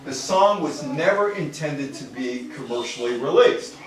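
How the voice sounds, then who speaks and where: A man's voice: medium pitch, lively intonation, a little slow, soft background music. Older American man lecturing, very echoey. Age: older, grandfather. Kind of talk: informal public lecture on music history. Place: indoor room before a live audience. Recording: very echoey room, distant microphone.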